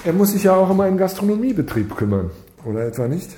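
An actor playing a stern, stubborn police superior in a radio drama, speaking curtly and formally. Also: male voice, sits high, very animated, fast talker. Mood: stern, dismissive. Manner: curt, formal. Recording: echoey room with background noise.

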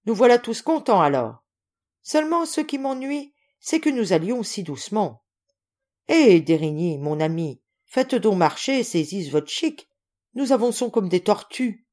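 Woman putting on a blustering, lively old general. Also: medium pitch, a little slow, voice pushed up. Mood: impatient, commanding. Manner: blustering, exclamatory, imperious. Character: gruff, hot-tempered old male general, kindly underneath. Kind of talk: acted storybook reading.

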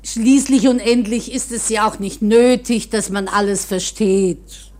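A humble older landlady played by an actress in a radio drama. She speaks slowly in a medium-pitched voice, deferential and soothing, often hesitating as she searches for words. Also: fairly even intonation, darkish tone, natural voice. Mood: gentle, hesitant. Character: humble, motherly old landlady, simple and fretful.

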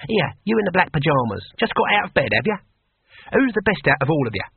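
A man voicing a gruff, cocky old barbarian leader in an audiobook. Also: fairly high pitch, big pitch swings, fast, no echo, narrow, tinny audio, full character voice. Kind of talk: audiobook character voice.

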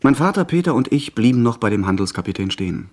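A man's voice: medium pitch, sing-song, fast, a little mellow. An actor playing a merchant's son who narrates his adventure in scripted radio drama storytelling. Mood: narrating. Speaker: first-person narrator.

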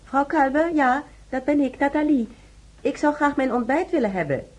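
A woman's voice: sits high, normal speed, natural voice. A woman playing a young housemaid, determined and insistent, in a Dutch radio drama. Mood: politely firm. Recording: faintly noisy radio play recording.

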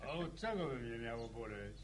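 An actor playing a blunt village farmer in a radio drama. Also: male voice, medium pitch, lively intonation, moderate pace, a little hiss or hum, close to an everyday voice, rough recording.

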